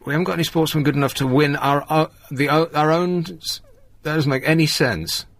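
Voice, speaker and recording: A man's voice: a little high, brisk. A man hosting a live radio phone-in, chatting and telling stories in a dry, exasperated way. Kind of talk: casual live phone-in chat and anecdote.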